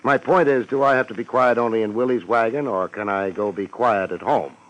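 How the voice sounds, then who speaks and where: An American man playing a world-weary, wisecracking private detective and narrator in a deadpan 1950s radio drama style. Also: fairly low pitch, a little fast, close to an everyday voice. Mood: coolly sardonic. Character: wry, world-weary private detective, cool under threat. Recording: noisy vintage 1950s radio recording.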